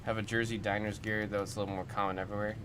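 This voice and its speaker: Lowish-voiced man chatting on a livestream. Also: level tone, very fast, noisy background. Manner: rambling, puzzled, chatty. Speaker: livestream host of card breaks. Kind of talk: casual chat reacting to viewer comments. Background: music.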